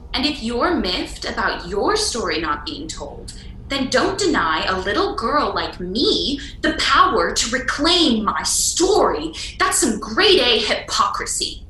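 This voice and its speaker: A woman's voice: on the high side, big pitch swings, slow pace, pushed and bright, full character voice. A woman playing a sassy, bold little fairy-tale girl in a radio play, brash, cheeky and defiant. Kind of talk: irreverent radio play acting. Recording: roomy, faintly noisy recording.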